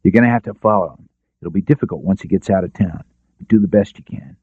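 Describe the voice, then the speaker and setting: A man's voice: deep voice, quick pace, husky, no echo, narrow, tinny audio. A man voicing a shrewd, decisive lawyer-detective in an audiobook, giving confident, clipped orders. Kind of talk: audiobook character dialogue.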